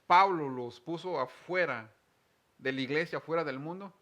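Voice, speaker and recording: A man's voice: fairly high pitch, normal speed, sharp tone. A man hosting a religious programme, teaching the Bible conversationally in an earnest, explanatory way and stressing key words.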